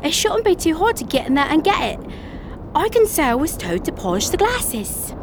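An actress playing a shrewd, secretive young servant lass aboard a ship in an audio drama, speaking in uneducated period dialect. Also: female voice, high voice, big pitch swings, moderate pace, background noise. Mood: conspiratorial.

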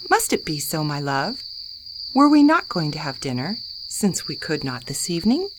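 A woman's voice: low-pitched, wildly swooping pitch, moderate pace. A woman playing a prim, curious young Victorian lady engaged to be married, in a radio drama. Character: genteel young Victorian fiancée, curious and proper. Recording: noisy night ambience with crickets.

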